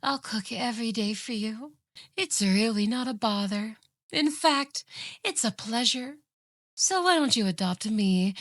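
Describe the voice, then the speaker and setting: Obsessive kitsune yandere played by a woman, ASMR roleplay. Unhurried, smug and sweetly menacing, dry studio sound. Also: a little high, vocal fry, no room sound, full character voice. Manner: sugary, boastful, taunting. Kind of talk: scripted ASMR roleplay acting.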